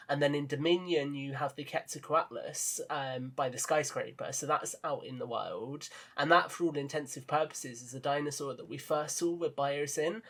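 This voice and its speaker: A man with a fairly high, very bright and forward voice chats as a guest on a podcast. He explains his thinking earnestly and keenly, working through each idea as he goes. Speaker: podcast guest and audio drama creator. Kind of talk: enthusiastic casual podcast discussion.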